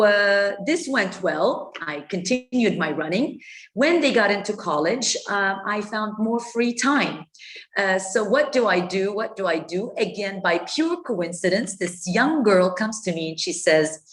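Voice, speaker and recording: A woman's voice: medium pitch, rough recording. Earnest Egyptian woman in her fifties. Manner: earnest, many fillers and restarts. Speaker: speaker telling her life story. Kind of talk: personal story talk.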